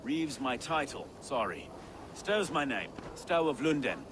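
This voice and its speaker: An actor playing an earnest, pious reeve of ninth-century London in a video game, speaking in courteous, folksy phrases. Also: male voice, medium pitch, very animated, a little slow, a bit bright, slight background noise. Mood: affable. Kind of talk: video game cutscene acting.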